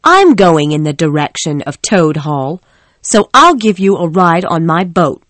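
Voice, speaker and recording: A sly, bossy barge woman put on by a man, her voice shrill and raised well above his own. She sounds emphatic and insistent in a storybook reading. The recording is dry and close. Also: sing-song, unhurried, fairly bright tone, dry recording. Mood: pushy. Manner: high falsetto woman's voice, emphatic and pushy.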